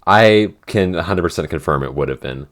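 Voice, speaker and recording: A man's voice: low-pitched, animated, fairly quick, fairly bright tone. A man chatting casually as a podcast writer in a behind-the-scenes Q&A, often searching for his words.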